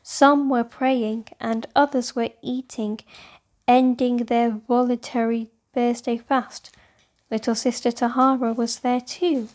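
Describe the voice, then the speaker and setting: A woman's voice: a little high, level tone, slow, a little mellow. A woman reading scripted storybook narration for children.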